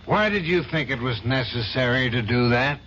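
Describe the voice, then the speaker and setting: An actor playing a stern middle-aged law firm boss in a 1970s radio drama. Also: male voice, mid-range voice, expressive, normal speed, fairly bright tone, a little hiss or hum, close to an everyday voice. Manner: stern, clipped, demanding. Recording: dull old radio recording.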